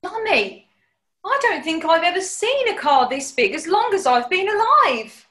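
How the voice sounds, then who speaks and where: An actress playing a plucky, blunt, sceptical British housemaid with a practical, indignant manner in a 1940s-style radio comedy. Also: female voice, extremely high pitch, big pitch swings, a little slow, fairly bright tone, heavily acted. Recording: echoey room.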